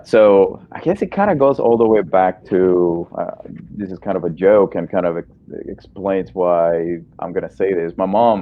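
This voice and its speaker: A man's voice: sits low, narrow, tinny audio. A man in his thirties from El Salvador, a native Spanish speaker, answering interview questions in a casual, friendly, informal way. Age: 30s. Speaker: guest, breast cancer surgeon.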